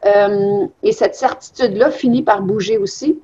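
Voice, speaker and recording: A woman's voice: mid-range voice, fairly even intonation, moderate pace, dark tone, slightly rough, highs cut off. A woman, a guest author explaining her past reflectively in an interview. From Belgium. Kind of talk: interview conversation.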